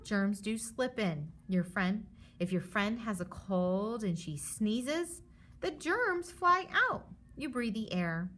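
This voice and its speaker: Lively woman teacher reading aloud to children. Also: medium pitch. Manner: explanatory and reassuring, checking in with listeners. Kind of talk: children's picture book read-aloud with explanatory asides.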